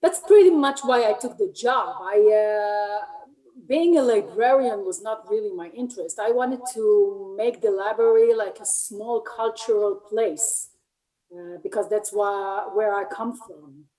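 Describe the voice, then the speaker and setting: A woman in her fifties from Israel, with an Israeli accent and Hebrew as her first language, chatting as an interview guest and pausing to find her English words. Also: medium pitch, warm tone, distant mic, poor audio. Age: 50s. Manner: hesitant, searching for English words. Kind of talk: casual interview chat.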